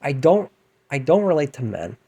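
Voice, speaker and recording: A man in his thirties with a light, androgynous voice, ranting at home at night in a rambling, self-deprecating way. He mostly keeps his voice down, then flares up loudly before trailing off again. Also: on the high side, sing-song, normal speed, breathy edge. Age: 30s. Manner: keeps his voice down, with loud bursts. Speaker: musician and vlogger. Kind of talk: rambling late-night rant to camera.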